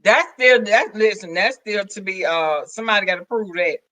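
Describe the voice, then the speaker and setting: A woman's voice: medium pitch, normal speed, pushed and bright, no room sound. Sassy Southern woman hosting a stream. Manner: sassy, teasing, calls others "girl" and "child". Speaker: live stream host. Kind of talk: casual banter on a live stream.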